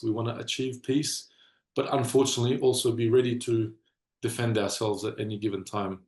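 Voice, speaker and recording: Gravelly-voiced male webinar host. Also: mid-range voice, a little mellow. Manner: earnest, measured, with frequent ums. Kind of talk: webinar introduction. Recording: echoey room.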